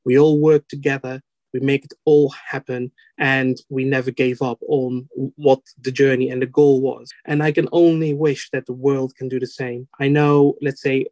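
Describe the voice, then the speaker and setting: A man's voice: medium pitch, little pitch movement, normal speed, darkish tone, dry recording. A Dutch man whose first language is Dutch, answering interview questions as a podcast guest, hesitant with many fillers and restarts. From Netherlands.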